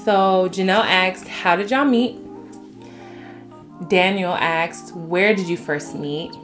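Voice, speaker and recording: Animated young woman chatting. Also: lowish voice, slow pace, faint music behind. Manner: animated storyteller, laughs and curses freely. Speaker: co-host of couple channel. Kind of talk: casual couple Q&A chat.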